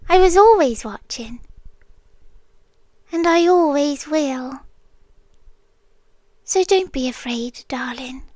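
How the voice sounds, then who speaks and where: A woman's voice: high voice, animated, slow, pauses often, a little mellow, no room sound. A British woman voicing a possessive, tender but menacing winged monster girl in an ASMR roleplay, cooing breathily in half-whispers close to the microphone. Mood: soothing, possessive.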